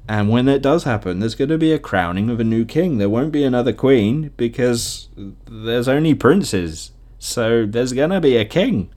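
Male podcast host, informal solo chat, mid-pitched voice, jokey and conversational. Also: expressive, moderate pace, a little mellow. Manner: jokey, laughs often at own remarks; acts out imagined quotes. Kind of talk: casual chatty podcast monologue.

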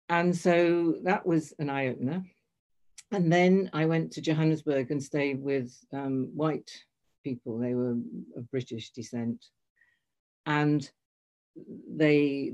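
A woman's voice: low-pitched, darkish tone. An older woman telling a long personal story in conversation, hesitant, restarting and correcting herself often. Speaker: guest.

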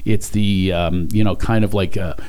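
A man's voice: low-pitched, steady tone, a little mellow. Joking male podcast host. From Philadelphia. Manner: joking, teasing, talks in quick restarts. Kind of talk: casual interview chat.

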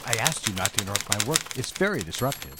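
A man playing a busy, patronizing businessman and sweet-talking lover in a period audio drama, smooth-talking, controlling and easily impatient. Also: on the low side, very animated, quick pace, very noisy. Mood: irritated.

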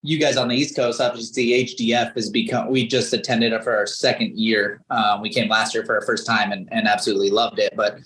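A man's voice: lowish voice, flat delivery, brisk, hardly any pauses, fairly bright tone, rough recording. A man in his thirties, a native Greek speaker, chatting as a podcast guest with long enthusiastic run-on sentences. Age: 30s. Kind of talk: casual interview chat.

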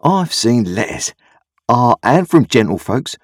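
Guy doing a simple kindhearted village blacksmith in an audiobook, earnest n rustic, bit husky, pitch goes all over. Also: very animated, a little slow, no room sound, clean studio sound. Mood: reassuring, reflective. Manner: rustic ungrammatical speech, earnest, ruminative. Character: kindly, slow-reasoning uneducated country blacksmith, adult man.

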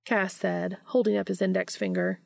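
A woman hosting a podcast and reading fan fiction aloud in an expressive audiobook style. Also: mid-range voice, normal speed, gravelly, no room sound.

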